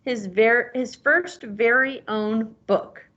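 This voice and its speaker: A woman's voice: a little high, takes it slowly, a bit bright. Dull-sounding woman reading a storybook aloud. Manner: encouraging, prompting with questions. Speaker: teacher reading aloud as a parent. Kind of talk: storybook read-aloud with guiding questions.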